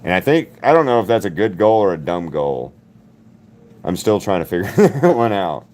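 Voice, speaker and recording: A man with a deep voice, chatting casually with viewers while he paints on a livestream. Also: very animated, normal speed, a little mellow. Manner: rambling, answers viewers' chat by name. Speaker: artist hosting a livestream.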